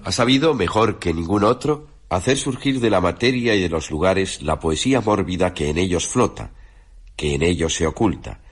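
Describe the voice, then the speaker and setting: A man giving a solemn, declamatory literary reading for radio in a husky voice. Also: low voice, animated, moderate pace, faint music behind. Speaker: radio reader.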